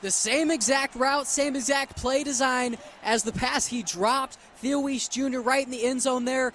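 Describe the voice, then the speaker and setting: A man with a high voice works as a football color commentator, breaking down plays on a live broadcast. Faint background noise sits behind him. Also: fairly even intonation, normal speed, fairly bright tone. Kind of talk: live sports analysis between plays.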